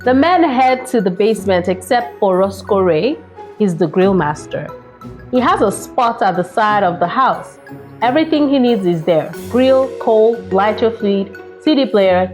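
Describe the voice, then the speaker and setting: A woman's voice: medium pitch, lively intonation, measured pace, darkish tone, soft background music. A woman hosting a children's read-aloud, playful and chatty, speaking straight to her young listeners. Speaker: children's storytime host and reader.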